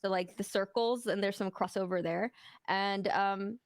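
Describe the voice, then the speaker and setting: A woman's voice: medium pitch, fairly even intonation, normal speed, smooth, no room sound, studio quality. A woman from Mexico, a streamer guest in a casual interview, talking openly and rambling with many fillers.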